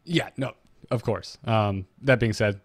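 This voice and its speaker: A man's voice: lowish voice, unhurried, husky, dry recording. A man co-hosting a podcast, discussing game lore in an informal, joking way. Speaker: podcast co-host.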